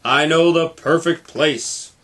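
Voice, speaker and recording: A man's voice: on the high side, slow pace, fairly bright tone. A man voicing a helpful, knowledgeable talking airplane radio that announces and explains things in a storybook. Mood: helpful, announcing.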